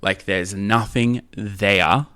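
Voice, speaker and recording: An Australian man chatting casually and candidly as a podcast guest, telling stories in a cheeky, animated way and acting out bits of dialogue. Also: lowish voice, slow pace, smooth, no echo, clean studio sound. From Australia, rural Queensland. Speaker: podcast guest, drag queen. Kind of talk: casual candid anecdotal chat.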